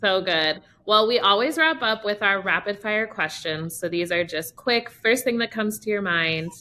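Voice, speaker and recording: Bright, lively woman hosting. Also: medium pitch, moderate pace. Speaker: podcast host. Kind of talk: casual interview chat.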